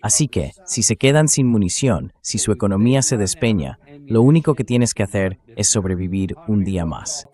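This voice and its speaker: A man's voice: on the low side, animated, moderate pace, warm tone. A man hosting a political commentary show, explaining his points emphatically and addressing viewers directly.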